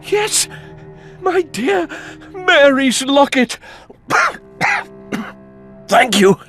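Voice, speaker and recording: A woman playing a frail, grieving captive in a video game, halting, weak and pleading. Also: high voice, big pitch swings, a bit bright, soft background music, exaggerated voice. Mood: relieved, weary. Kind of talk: video game cutscene acting.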